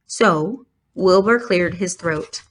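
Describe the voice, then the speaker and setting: A woman's voice: lowish voice, takes it slowly. A woman narrating a children's storybook as a scripted read-aloud. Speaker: storybook reader.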